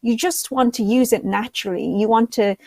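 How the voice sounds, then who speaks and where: A woman's voice: a little high, fairly quick, darkish tone, no room sound. A young woman speaking enthusiastically as a panelist on an online call, with many fillers and restarts. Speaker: panelist, content creator. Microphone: video call.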